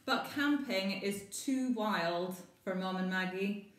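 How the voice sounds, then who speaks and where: A woman's voice: medium pitch, slow pace, fairly bright tone, slight background noise. A Scottish woman reading a children's picture book aloud, with chatty asides to young listeners. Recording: echoey room.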